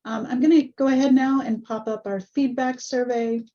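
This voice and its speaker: A woman hosting an online webinar over a video call, a little hoarse, friendly and full of ums. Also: fairly high pitch, fairly even intonation, normal speed, mellow. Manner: friendly, many ums and uhs. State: slightly hoarse. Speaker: webinar host. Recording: muffled video call audio.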